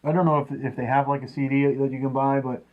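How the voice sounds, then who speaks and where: A man's voice: mid-range voice, level tone, fast talker, phone-quality sound. A man co-hosting a review show in casual chat, hesitant and often repeating his words.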